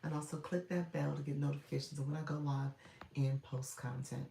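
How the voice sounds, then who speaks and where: A woman coaching viewers on live streaming in a casual, chatty way, congested from a cold with a froggy voice, often clearing her throat and using fillers. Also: low-pitched, steady tone, fairly quick, mellow, slight background noise. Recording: roomy echo.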